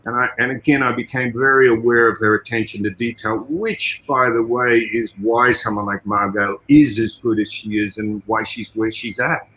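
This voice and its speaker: An older man with an Australian accent, a guest on a podcast interview, telling stories in an animated, expansive way. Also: mid-range voice, normal speed, hardly any pauses, low-quality recording. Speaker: guest, martial arts trainer.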